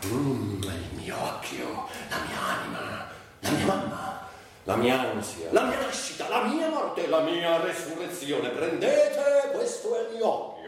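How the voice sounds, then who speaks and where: A bitter, self-mocking rebel in a stage monologue. His voice swings wildly from low murmurs to high outcries. It is heard from far off in a very echoey space. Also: wildly swooping pitch, unhurried, crowd noise, exaggerated voice. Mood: grotesque relish. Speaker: stage actor. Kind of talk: theatrical dramatic monologue adapted from a novel. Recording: very echoey stage, distant mic, noisy.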